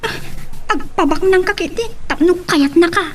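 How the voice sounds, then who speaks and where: A woman's voice: extremely high pitch, normal speed, distant mic. A woman playing a concerned, prying young friend in an Ilocano love-story audio drama. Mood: concerned, probing.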